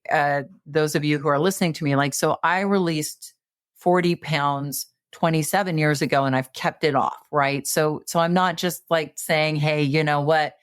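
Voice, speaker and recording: A woman's voice: deep voice, moderate pace, no room sound, clean studio sound. A woman in her late fifties, a podcast guest giving coaching advice in a conversational way, full of fillers and restarts. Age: 50s. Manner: animated, many fillers and restarts.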